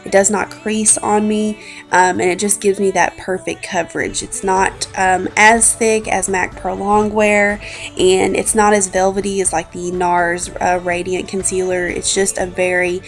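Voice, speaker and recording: A woman's voice: mid-range voice, level tone, measured pace. A woman in her twenties with a congested, slightly scratchy voice, chatting informally as a beauty vlogger narrating a makeup routine. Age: 20s. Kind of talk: casual makeup tutorial narration. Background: background music.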